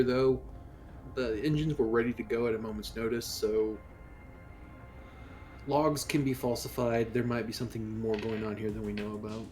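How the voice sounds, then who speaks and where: A man taking part in a tabletop roleplaying game, talking casually and in character. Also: medium pitch. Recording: music playing underneath.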